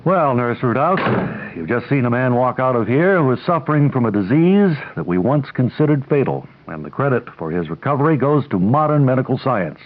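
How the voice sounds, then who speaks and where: A pompous young hospital doctor, played by a man, explains things with pedantic, literal-minded certainty. He has a middling male pitch and the deadpan delivery of radio comedy, heard through a very narrow, tinny recording. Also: moderate pace, hardly any pauses, close to an everyday voice. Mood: smug. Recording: tinny, band-limited old radio recording.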